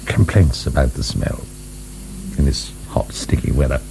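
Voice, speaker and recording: A man's voice: extremely low pitch, animated, a little slow, vocal fry, noisy background, heavily acted. A man acting in a radio drama as a proud, defensive middle-aged prisoner of the French Revolution, emphatic and formal. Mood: grim, guarded.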